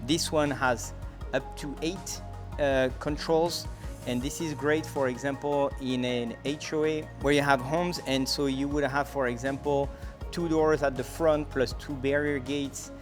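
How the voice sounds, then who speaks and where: A man with a medium-pitched voice presents a product comparison. He explains features step by step in a conversational, semi-scripted style. Music plays behind his voice. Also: steady tone, a little slow.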